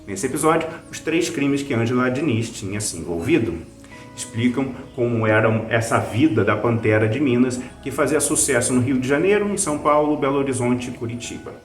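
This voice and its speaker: A man's voice: medium pitch, fairly quick, roomy sound, faint music behind. A Brazilian man hosting a recommendation video in a conversational, scripted review style. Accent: Brazilian Portuguese. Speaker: video host recommending podcasts.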